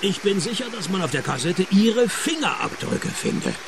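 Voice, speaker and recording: An actor playing a cynical private detective who narrates a radio play in a dry, sardonic tone. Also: male voice, high voice, normal speed, creaky, crowd noise, exaggerated voice. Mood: deadpan. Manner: deadpan, sardonic.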